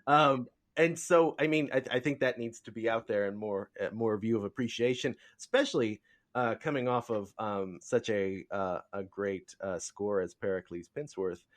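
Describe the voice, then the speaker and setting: A man hosting a podcast in casual interview chat, speaking haltingly and rambling as he searches for words. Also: fairly low pitch. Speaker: podcast host.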